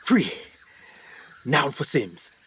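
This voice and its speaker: A man's voice: medium pitch, big pitch swings, a bit bright, slight background noise, heavily acted. A man playing a haunted, guilt-ridden explorer doctor in a radio play, telling his story with theatrical intensity. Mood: grim, harrowed. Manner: theatrical, intense, halting delivery.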